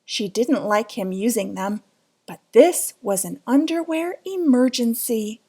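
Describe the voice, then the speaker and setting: Female children's storybook reader, higher register, unhurried, dramatic and suspenseful. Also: animated, darkish tone, husky. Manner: dramatic, suspenseful delivery with drawn-out words. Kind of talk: children's picture book read-aloud.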